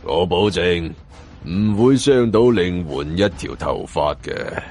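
A man voicing a cunning, composed older villain in an audio story, speaking Cantonese-accented Chinese in a measured, commanding, coldly polite way. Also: low voice, lively intonation, a little mellow, voice pushed down, full character voice.

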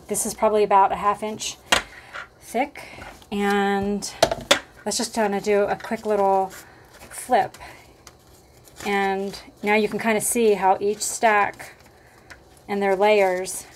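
A woman hosting a casual crafting tutorial at her table, chatty and thinking aloud with lots of fillers, her voice slightly hoarse. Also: medium pitch, a little hiss or hum.

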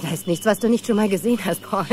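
A woman's voice: mid-range voice, very fast, a little mellow, soft background music, lightly acted. A woman playing a headstrong, worried mother in a German audio drama, speaking emotionally and insistently. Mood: teasing. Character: headstrong, worried divorced mother searching for her son.

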